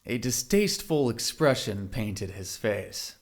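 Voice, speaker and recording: A man's voice: fairly low pitch, expressive, a little slow. A man narrating a scripted audio drama in a literary storytelling style.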